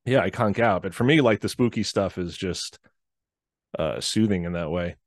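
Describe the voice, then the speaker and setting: Low-voiced nasal man, 40s, podcast guest, dry close mic. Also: moderate pace, no room sound. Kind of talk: casual chat over a video call. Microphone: headset and podcast microphone on video call.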